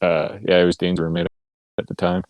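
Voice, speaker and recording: Deep-voiced man bantering on a podcast. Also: monotone, a little fast, no room sound. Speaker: podcast co-host. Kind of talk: casual banter.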